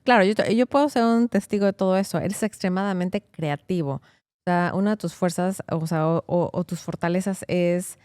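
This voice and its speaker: A woman's voice: lowish voice, normal speed, darkish tone, clean tone, no echo, studio quality. A woman lawyer hosting an interview, chatty and encouraging, often tagging her sentences with "¿no?". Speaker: host, immigration lawyer. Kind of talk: casual interview conversation.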